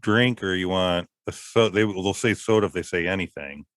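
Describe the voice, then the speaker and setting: A man co-hosting a podcast in a casual chat, easygoing and quick to joke about himself. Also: sits low, fairly bright tone, dry recording. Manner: easygoing, self-deprecating, quick with jokes. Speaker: podcast co-host.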